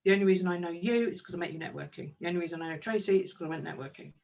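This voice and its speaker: A woman's voice: fairly low pitch, racing, creaky, darkish tone, distant mic, muffled recording. A woman, a kinesiologist and business owner, talking enthusiastically in a casual interview with plenty of ums. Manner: enthusiastic, chatty, with frequent ums.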